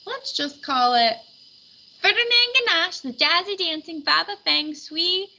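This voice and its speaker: A woman voicing an excited little city girl in a storybook, calling out triumphantly. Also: very high voice, unhurried, gravelly, lo-fi sound, pitched above the performer's own voice, heavily acted. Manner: triumphant shouting.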